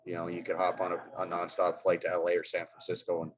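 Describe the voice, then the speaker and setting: A man's voice: low voice, completely flat delivery, quick pace. A middle-aged man, a hockey head coach, answering press questions in a loose, rambling way with many fillers and restarts.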